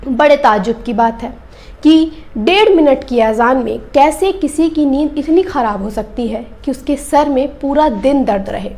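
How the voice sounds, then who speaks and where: Woman, high-pitched voice, news presenter, scripted commentary, direct address to viewers. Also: darkish tone.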